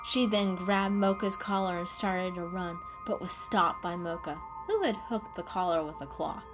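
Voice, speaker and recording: A woman's voice: fairly low pitch, steady tone, moderate pace, music in the background. A woman reading a story aloud as a storyteller, in dramatic storybook narration.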